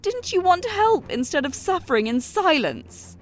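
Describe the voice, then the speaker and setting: A woman's voice: very high voice, sing-song, exaggerated voice. Woman acting as a firm, reproachful conscience figure. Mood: reproachful, pleading. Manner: insistent, reproachful, measured. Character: firm, moralising female character confronting her author. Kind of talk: rhyming scripted audio drama.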